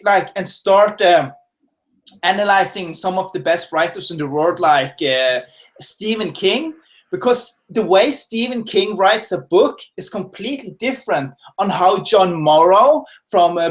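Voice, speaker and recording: A man from Norway, a guest expert giving casual, filler-heavy answers in a webinar interview from his home office. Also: high voice. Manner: casual, chatty, lots of fillers and restarts.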